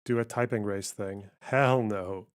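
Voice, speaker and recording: A man's voice: lowish voice, fairly even intonation, measured pace. A man giving blunt, wry, sweary commentary on news during a live stream.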